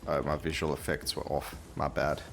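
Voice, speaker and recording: A man's voice: extremely low pitch, flat delivery, moderate pace, gravelly, faint music behind. A man giving casual gameplay commentary as the host of a gaming video.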